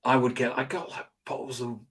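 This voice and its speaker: A man being interviewed as a guest expert, telling anecdotes in a rambling, animated way with lots of restarts and fillers. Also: medium pitch, a little fast, a crowd in the background. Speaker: guest, social selling expert. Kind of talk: conversational interview storytelling.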